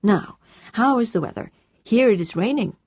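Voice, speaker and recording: A woman voicing a composed, courteous man in an audiobook, with a calm, measured and reassuring manner. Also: on the high side, husky, no room sound, clean studio sound, voice pushed up.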